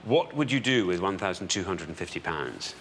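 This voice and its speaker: Low-voiced British male quiz show host. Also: very animated, fairly bright tone, a little hiss or hum. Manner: deliberate, repeats answers, builds suspense. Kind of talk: television quiz show presenting. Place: television studio.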